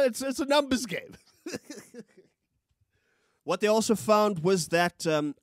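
A man's voice: high-pitched, no room sound. A young South African man co-hosting a podcast, giving heated, profane news commentary with big hand gestures into a studio mic. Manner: animated, profane, gesturing with his hands. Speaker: podcast co-host. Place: small studio room. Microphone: studio mic on a boom, with headphones.

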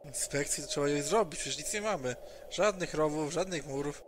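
A man's voice: on the high side, a little fast. Eager young-sounding man in noisy online audio. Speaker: tabletop game player. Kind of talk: in-character roleplay, playing a naive group member. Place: home, online session. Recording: online session audio with clear background noise.

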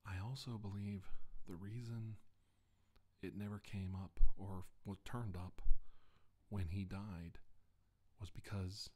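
Man playing a slow, low-voiced, solemn abductee. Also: steady tone, mellow. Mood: grave, measured. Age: middle-aged. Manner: halting, deliberate, with long pauses. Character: solemn male alien abduction victim, a doctor giving testimony. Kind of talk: ASMR roleplay, slow deposition monologue. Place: indoor room, seated at a table. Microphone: microphone on the table close in front.

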